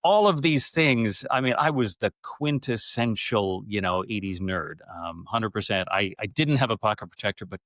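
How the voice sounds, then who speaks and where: Animated storytelling man, lowish voice, dry sound. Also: moderate pace, few pauses, no echo. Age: middle-aged. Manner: animated, rambling, acts out quoted speech. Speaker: interview guest, actor. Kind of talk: casual conversational storytelling.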